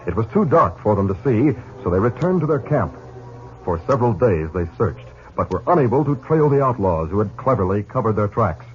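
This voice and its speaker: An actor narrating a 1950s radio western in a dramatic, formal announcer's delivery. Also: male voice, on the low side, big pitch swings, brisk, a little mellow, soft background music. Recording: dull 1950s radio recording.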